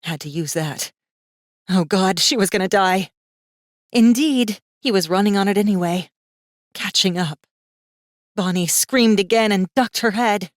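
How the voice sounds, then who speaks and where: A woman's voice: mid-range voice, unhurried, pauses often, no echo, studio quality. A woman narrating a fantasy audiobook in a dramatic, scripted style with tense, suspenseful storytelling.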